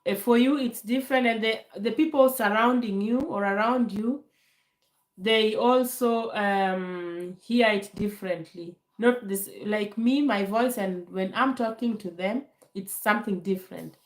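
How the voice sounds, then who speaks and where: Calm, slow-talking woman on a livestream. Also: medium pitch, echoey room, poor audio. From Kenya. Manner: calm, slow, laughs often, many fillers. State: recovering from a bad cold. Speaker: livestream host. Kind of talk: casual live Q&A chat. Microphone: phone held to camera.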